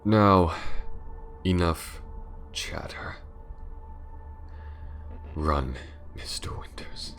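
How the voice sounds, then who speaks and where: A man playing a menacing, aristocratic vampire lord in an ASMR roleplay, slow and theatrical, often sinking into a sinister whisper. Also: low-pitched, fairly bright tone, faint music behind, full character voice. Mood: mocking, predatory.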